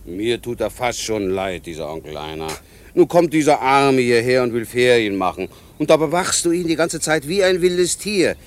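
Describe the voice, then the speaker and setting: A man's voice: medium pitch, moderate pace, natural voice. A man playing a friendly, teasing small-town policeman in a children's radio play, jovial and mock-solemn. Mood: gently chiding.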